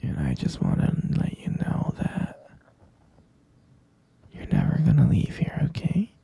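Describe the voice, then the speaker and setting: A hushed, heavily creaky murmur from a possessive kidnapper boyfriend acted by a man in an intimate ASMR roleplay. The delivery is slow and breathy, coaxing a captive lover with syrupy tenderness and obsessive devotion. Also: mid-range voice, huge pitch swings, heavily acted. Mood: soothing, obsessive. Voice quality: breathy, fry-laden murmur. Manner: slow, coaxing, saccharine pet names.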